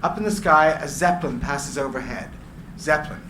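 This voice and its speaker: A man's voice: fairly high pitch, normal speed. Man narrating a screenplay in echoey room. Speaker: narrator of stage directions. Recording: echoey room, clear background noise.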